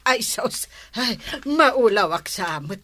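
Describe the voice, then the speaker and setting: A woman's voice: medium pitch, expressive, slow, a bit bright. A woman playing a playful, exclamatory village gossip in a radio comedy drama, with an Ilocano accent. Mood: mock fretting. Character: giggly, playful village gossip siding with her friend.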